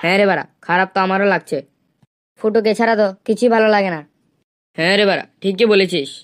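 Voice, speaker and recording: A woman's voice: mid-range voice, fast, lightly acted. A woman voicing a pushy, crude young village club leader, male, in a comic cartoon. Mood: concerned.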